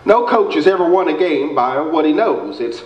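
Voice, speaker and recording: A man's voice: fairly high pitch, big pitch swings, moderate pace, crowd noise. Echoey, blustering folksy basketball coach, acted by a man. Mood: earnest lecturing. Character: folksy, muddled, blustering small-town boys' basketball coach. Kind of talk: staged old-time radio comedy acting. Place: indoor theater stage before an audience. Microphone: stand mic, heard through far camera. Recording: echoey stage hall, distant muffled camera audio.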